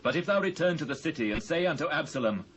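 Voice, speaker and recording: A British man playing a grave, devout fugitive king in a dramatised scripture, speaking solemn, measured archaic English. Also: a little high, animated, fairly bright tone. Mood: commanding. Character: King David, grave sorrowful fugitive monarch, commanding and devout. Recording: faint hiss and ambience, old cassette.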